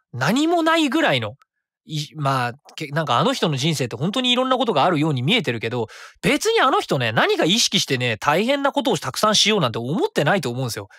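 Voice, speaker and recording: Animated, higher-voiced man chatting on radio. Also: dry recording, studio quality. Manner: chatty, rambling, thinking aloud with self-corrections. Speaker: radio host. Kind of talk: casual solo radio talk with announcements. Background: background music.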